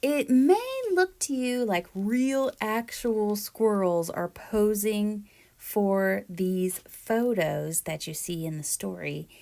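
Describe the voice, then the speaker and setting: A woman reads aloud for young children. Her pace is slow and deliberate, giving each phrase room. The delivery is expressive storytelling at a medium pitch. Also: creaky. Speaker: read-aloud storyteller, teacher-like presenter. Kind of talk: expressive children's picture book read-aloud.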